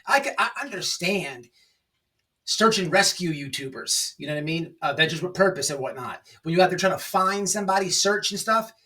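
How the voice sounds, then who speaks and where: A man hosting an informal opinion commentary, speaking in a halting, repetitive, emphatic and sarcastic way. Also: sits high, animated, bright, forceful tone, far from the mic. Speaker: online commentary show host.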